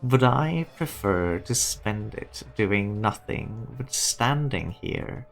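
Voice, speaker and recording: A man playing an ambitious, proud self-made businessman, deliberate and speechifying, in a fan-dubbed game drama. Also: fairly low pitch, lively intonation, gravelly, faint music behind, full character voice, rough recording. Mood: wistful, firm. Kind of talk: scripted fan-dub game drama monologue.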